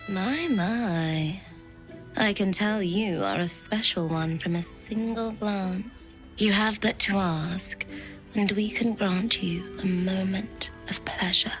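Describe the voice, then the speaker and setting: An actress playing a flirtatious courtesan in a fantasy video game, sultry, coaxing and playful. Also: female voice, medium pitch, slow pace, close to an everyday voice. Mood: seductive. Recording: loud music behind.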